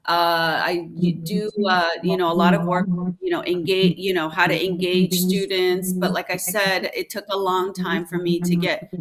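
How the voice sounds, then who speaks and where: Guest spot: woman raised in Mexico, Spanish first language, sharp bright tone, animated teacher telling stories. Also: on the low side, poor audio. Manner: assertive, storytelling, acts out classroom exchanges. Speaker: high school French teacher, guest. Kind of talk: casual conversational interview.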